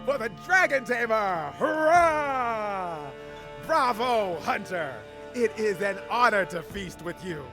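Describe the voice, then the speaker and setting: A man playing an excitable, admiring citizen in a fantasy video game, eager and exclamatory. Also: high voice, slow, exaggerated voice. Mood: jubilant. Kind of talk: video game character acting. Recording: music behind the voice.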